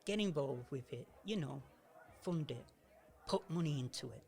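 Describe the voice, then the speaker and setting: A man acting as a miserable older uncle in a community radio drama, gruff and reserved. His voice sits a little high for a man and has a gravelly creak. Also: animated, normal speed, warm tone. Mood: earnest, apologetic.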